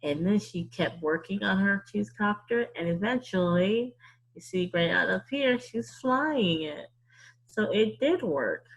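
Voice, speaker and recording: A woman's voice: medium pitch, lively intonation, a little slow, breathy edge, low-quality recording. A woman hosting storytime, chatting casually and encouragingly to children and repeating words for emphasis. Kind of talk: casual explanatory talk to children.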